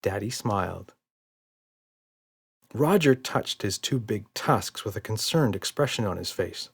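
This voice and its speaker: A man's voice: lowish voice, animated, a little slow, gravelly, slightly rough. A man narrating a scripted children's audiobook story.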